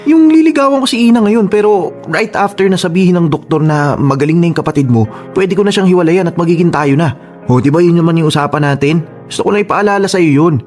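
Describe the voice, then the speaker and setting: A man's voice: sits high, quick pace, a little mellow, natural voice. A man with a Filipino accent playing a resentful young suitor in a radio drama, pushy and accusing. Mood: urgent, insistent. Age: young adult. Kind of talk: Tagalog radio drama acting. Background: music.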